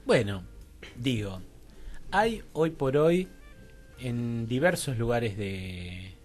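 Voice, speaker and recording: A man's voice: mid-range voice, very animated, extremely slow, darkish tone. Tentative Argentine man, music behind. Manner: tentative, digressive, checks understanding. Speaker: guest clinician and academic. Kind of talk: radio interview conversation.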